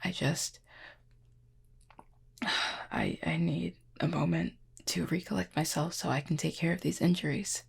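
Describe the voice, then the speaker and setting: Woman voicing a gravelly, husky, wounded fallen cupid. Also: low-pitched, fairly even intonation, full character voice. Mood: weary, polite. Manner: formal, wry wording with weary sighs and hesitant pauses. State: in pain, strained. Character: injured fallen cupid, proud, formal, guarded adult. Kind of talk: intimate audio roleplay addressed to listener.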